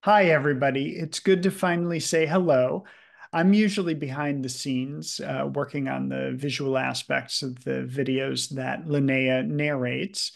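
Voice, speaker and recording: A man's voice: mid-range voice, steady tone, measured pace, creaky. A man working as a video producer, reading out audience questions in an easygoing, conversational way.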